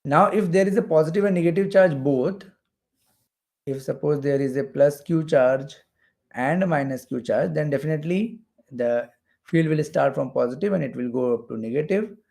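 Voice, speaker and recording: Gravelly male physics teacher. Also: fairly high pitch, normal speed, darkish tone. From India. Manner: repeats phrases for emphasis. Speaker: physics teacher for exam preparation. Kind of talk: explanatory lecture while drawing diagrams.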